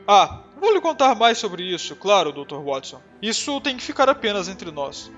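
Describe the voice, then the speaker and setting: Pompous, excitable detective for an audiobook, played by a man; high voice, self-satisfied delivery. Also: moderate pace, muffled recording, faint music behind. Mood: boastful. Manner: pompous, emphatic.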